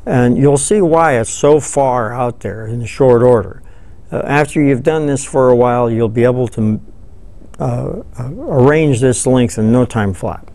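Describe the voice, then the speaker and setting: An older man giving a relaxed, folksy fly-tying tutorial, thinking aloud as he works at a table in a studio. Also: medium pitch, a little slow. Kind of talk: hands-on tutorial. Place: studio with dark backdrop, seated at table.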